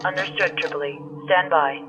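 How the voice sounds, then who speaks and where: A man's voice: fairly high pitch, steady tone, moderate pace. A man playing a formal starship radio operator in a sci-fi audio drama, procedural and clipped through a radio filter. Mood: businesslike. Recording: dull radio transmission, clear music behind.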